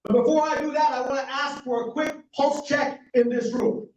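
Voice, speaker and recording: A man, a physician running for office, giving an energetic campaign speech and polling the room with rhetorical questions. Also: very high-pitched, moderate pace, a little hiss or hum. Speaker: political candidate, physician. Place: community meeting room. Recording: echoey, distant and dull room recording.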